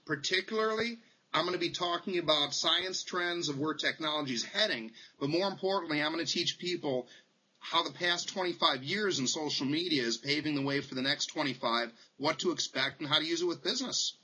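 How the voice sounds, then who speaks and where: A man's voice: on the high side, brisk, pushed and bright, husky, rough recording. A man on a late-night video call, chatting playfully and breaking into hammy announcer bursts. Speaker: social media speaker on a video call. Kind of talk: playful casual chat. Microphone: webcam on a video call.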